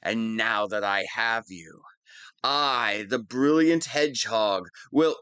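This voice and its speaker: Pompous, whiny wannabe supervillain, slow-paced. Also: male voice, medium pitch, sharp tone, clear, resonant tone, dry recording. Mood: gloating. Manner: pompous monologuing that slips into whining. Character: whiny, theatrical wannabe supervillain, smug but petulant. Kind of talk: scripted audio roleplay monologue.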